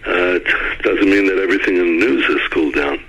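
A man's voice: deep voice, a little fast, fairly bright tone, rough recording. A middle-aged man from Brooklyn, a former mayor, giving an earnest interview over the phone and often repeating words as he starts a sentence. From United States (Brooklyn). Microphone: phone line.

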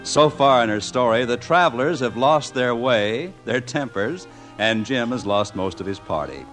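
An actor working as the mock-dramatic announcer and narrator of a 1950s radio comedy. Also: male voice, mid-range voice, animated, normal speed, a bit bright, faint music behind, natural voice. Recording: vintage 1950s radio broadcast.

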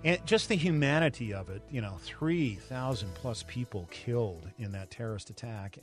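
An American man giving a reflective interview recollection in a halting, conversational way. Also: medium pitch, lively intonation. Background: faint music.

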